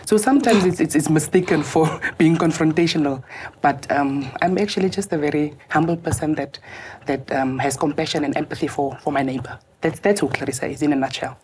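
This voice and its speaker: A woman with a loud voice, answering interview questions in a studio in a lively, joking way with hesitant restarts. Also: low voice, a little fast, slight background noise. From Namibia. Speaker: tax officer guest. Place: TV studio, seated.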